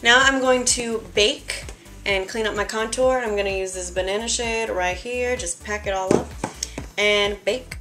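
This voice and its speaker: A young woman talks through her makeup routine with a slightly raspy, worn-out voice. Her delivery is chatty and self-deprecating, with little asides as she applies products. She is recorded indoors in her bedroom on a camera close in front of her, with faint music underneath. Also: medium pitch, moderate pace. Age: young adult. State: tired. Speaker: beauty vlogger. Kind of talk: casual makeup tutorial. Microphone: camera in front at close range.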